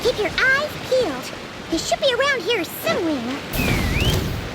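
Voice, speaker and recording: Squeaky, excitable little childlike companion. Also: female voice, slow, heavy background noise, exaggerated voice. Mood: eager. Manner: exclamatory, eager. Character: small childlike floating companion, chirpy, excitable, inquisitive. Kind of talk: video game voice acting.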